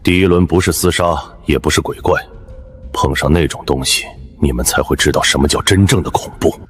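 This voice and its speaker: Very deep, cocky veteran survivor. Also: male voice, brisk, soft background music. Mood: ominous, condescending. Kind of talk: animated drama dialogue.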